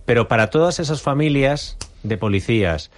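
A man from Spain hosting a talk radio show, halting with fillers and restarts, turning pointed and indignant in his commentary. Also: mid-range voice, animated, no echo. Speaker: radio host. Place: radio studio.

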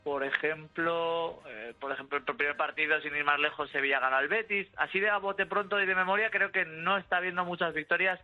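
A man's voice: a little high, a little fast, few pauses, soft background music. A male sports journalist calling in, rattling off fixtures and results with some hesitation. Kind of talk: sports news chat. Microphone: telephone line. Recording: tinny phone line.

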